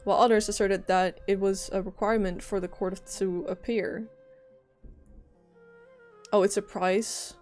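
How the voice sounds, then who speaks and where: A woman streams a game in a casual, reactive style, switching between commentary and reading aloud. Her voice is mid-pitched with a lingering cough, and game music plays behind it. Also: level tone, measured pace, pauses often, a little mellow. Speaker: livestreamer.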